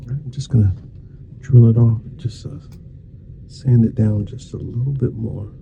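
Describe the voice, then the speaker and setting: A soft-spoken man playing a dentist in an ASMR roleplay, murmuring casual, reassuring and unhurried patter to a patient. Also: mid-range voice, gravelly. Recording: dull muffled lo-fi sound with faint noise.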